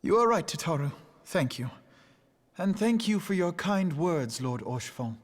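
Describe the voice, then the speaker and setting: A man playing an earnest, noble young fantasy hero in a game, with formal, archaic, measured speech. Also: on the high side, big pitch swings. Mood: solemn, resolute. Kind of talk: scripted fantasy game dialogue.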